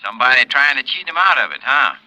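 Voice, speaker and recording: An actor playing an excitable male New muskrat trapper, in a 1950s radio drama. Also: fast talker, no room sound. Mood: jovial. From New Orleans. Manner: high, excitable, folksy slang. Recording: dull lo-fi old radio broadcast, dry.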